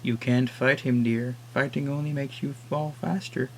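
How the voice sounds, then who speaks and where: A man voicing a scatterbrained old woman in her sixties, fussy and high-pitched. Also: fairly even intonation, moderate pace, slight background noise, lightly acted, poor audio.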